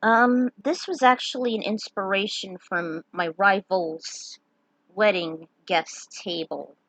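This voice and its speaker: A woman's voice: mid-range voice, takes it slowly, a bit bright, dry recording, low-quality recording. A woman talking casually about her fan fiction story and sharing her notes as a writer. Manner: chatty, sign-off upbeat.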